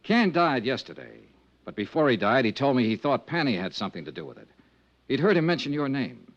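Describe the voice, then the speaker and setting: An actor playing a wry, hard-boiled insurance investigator who also narrates, in a dry, clipped 1950s radio-drama style. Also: male voice, medium pitch, big pitch swings, fairly quick. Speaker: narrator and lead. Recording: muffled old radio broadcast with faint music.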